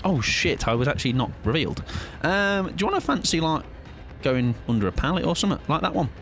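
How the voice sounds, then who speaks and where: A young man chats his way through a live game stream in a relaxed, joking manner. His pitch leaps around constantly, squeaking up high when he gets excited. Faint game sounds hum underneath. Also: quick pace, gravelly. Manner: chatty, playful, swings into high excited pitch. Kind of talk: casual live-stream chat while gaming.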